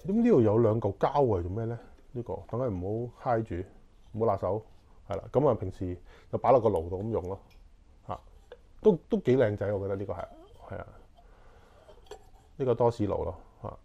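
A man's voice: fairly low pitch, a little fast, gravelly, pauses often, lo-fi sound. A man in his forties with a Hong Kong Cantonese accent, unboxing camping gear in casual chat and thinking aloud with many fillers, recorded on a lapel mic in a small living room. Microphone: clip-on lapel mic.

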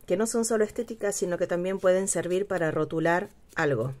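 A middle-aged woman hosts a casual craft tutorial at her home table, speaking unhurriedly with many pauses and fillers. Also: lowish voice, a little mellow. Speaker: craft channel host. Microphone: overhead camera, speaker off screen.

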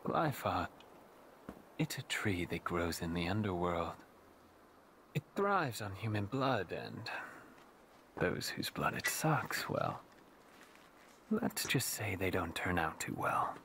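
An actor playing a brooding, enigmatic young poet in a video game, speaking in a measured, theatrical, cryptic way. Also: male voice, low-pitched, sing-song, fairly bright tone, a little hiss or hum, exaggerated voice. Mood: calm, cryptic. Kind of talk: dramatic video game voice acting.

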